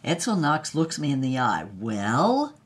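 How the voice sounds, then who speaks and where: A woman's voice: deep voice, big pitch swings, unhurried. A woman playing a wry, brassy showgirl who tells her own story in theatrical, vivid dramatized narration. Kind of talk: first-person dramatized narration.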